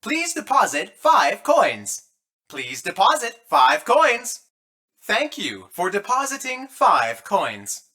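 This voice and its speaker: An actress voicing an officious automated coin machine in a video game, talking in announcements. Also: female voice, a little high, huge pitch swings, slow, sharp tone, full character voice.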